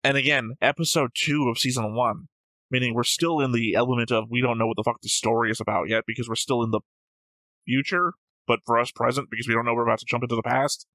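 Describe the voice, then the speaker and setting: A man's voice: mid-range voice, steady tone, quick pace, pushed and bright, no echo, low-quality recording. A man chatting casually as a reaction show co-host, rambling through his points and arguing them out. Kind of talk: casual discussion of an anime.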